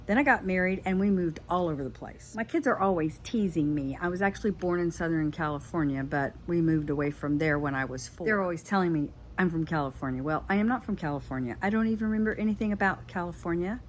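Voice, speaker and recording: A woman's voice: lowish voice, fast, few pauses, slight background noise. An older woman from Florida, a doll craft vlogger, telling her life story in a chatty, friendly way. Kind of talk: casual personal storytelling.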